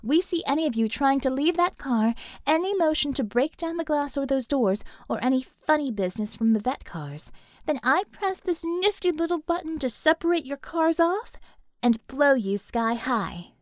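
A woman's voice: high-pitched, expressive, moderate pace, no room sound, clean studio sound, pitched above the performer's own voice. Woman playing a mocking, menacing female villain. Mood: cold threat. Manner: mocking, threatening.

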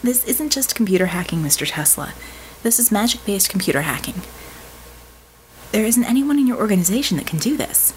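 A woman voicing an earnest, quick-witted young female hacker-mage in an audiobook, explaining things assertively with dry wit. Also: mid-range voice, very animated, slight background noise, lightly acted, poor audio. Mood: earnest, determined. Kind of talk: audiobook character dialogue.

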